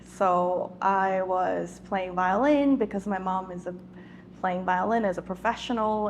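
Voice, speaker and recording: A woman's voice: medium pitch, gravelly, slight background noise. A woman from South Korea, a native Korean speaker, chatting about her path as an artist, searching for words with many fillers. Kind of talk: panel talk.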